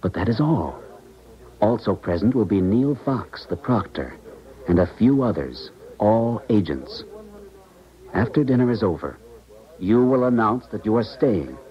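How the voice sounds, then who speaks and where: A man's voice: fairly low pitch, lively intonation, measured pace. An actor playing an earnest, insistent male scientist in a 1970s radio drama. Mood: persuasive. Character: earnest, persuasive male scientist pleading with an old friend. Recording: dull, muffled 1970s radio recording.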